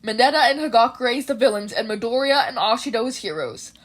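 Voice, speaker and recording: A woman's voice: fairly high pitch, expressive, fast. Woman putting on a commanding, hearty male hero teacher. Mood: hearty, authoritative. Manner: formal, reassuring, announcing. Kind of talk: fan fiction audio reading.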